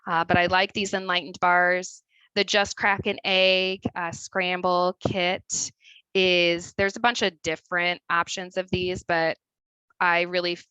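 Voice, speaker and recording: A woman's voice: lowish voice, bright, forceful tone, clear, resonant tone, no room sound, rough recording. A woman dietitian with a Midwestern accent, giving a chatty webinar presentation over a video call with many fillers. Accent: Midwestern American.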